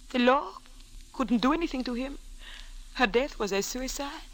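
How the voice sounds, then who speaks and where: An actress playing a resigned, vengeful young married woman in a 1940s radio drama, with formal phrasing and dramatic pauses. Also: sits high, lively intonation, rough recording. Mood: bitter, grieving. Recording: old radio recording, faint hiss.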